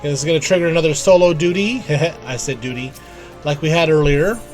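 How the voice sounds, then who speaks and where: Guy streaming a game, pretty high voice, wry little asides to himself, music faintly behind. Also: brisk, a little mellow. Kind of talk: live gameplay commentary, casual.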